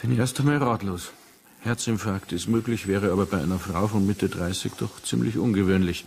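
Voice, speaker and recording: An actor playing a composed country family doctor in a radio drama, measured, formal and clinical in manner. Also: male voice, fairly low pitch, creaky, darkish tone, a little hiss or hum. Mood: composed, factual. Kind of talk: naturalistic radio drama acting.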